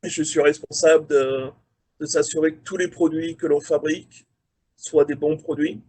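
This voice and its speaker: A man's voice: fairly high pitch, moderate pace, slightly rough. Hesitant Frenchman on a video call. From France. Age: 40s. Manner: relaxed, hesitant with many fillers. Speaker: career mentor, quality manager. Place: his office at a factory.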